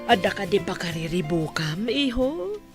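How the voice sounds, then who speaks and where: A woman's voice: mid-range voice, sing-song, moderate pace, over loud music, lightly acted. A woman playing a patient, probing mother in radio drama, with an Ilocano accent.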